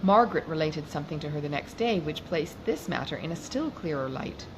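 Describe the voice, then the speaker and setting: A woman narrating a classic novel in a measured, expressive reading. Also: deep voice. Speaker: audiobook reader. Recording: noisy background hiss.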